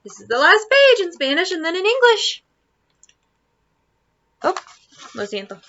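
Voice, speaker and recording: A woman teacher reading a children's storybook aloud to students, expressively and deliberately. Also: extremely high pitch, very animated, bright, forceful tone, lo-fi sound. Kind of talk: children's storybook read-aloud in Spanish and English.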